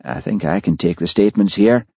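A man's voice: low voice, a little fast, vocal fry, a little mellow, breathy edge, dry recording, dull audio, exaggerated voice. A man playing a clever, sycophantic male detective in an audiobook, efficient and deferential. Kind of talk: audiobook character voice.

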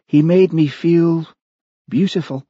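A man's voice: on the high side, takes it slowly, dark tone, no room sound, highs cut off, pitched above the performer's own voice. A man voicing a woman who confesses to murder, unrepentant and matter-of-fact, in an audiobook. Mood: resigned, intense. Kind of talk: audiobook character voice.

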